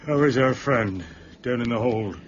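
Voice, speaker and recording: An actor playing a dying, regretful ship's captain in anguished, halting period drama speech. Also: male voice, mid-range voice, close to an everyday voice. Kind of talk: period radio drama acting. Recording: dull, noisy drama recording.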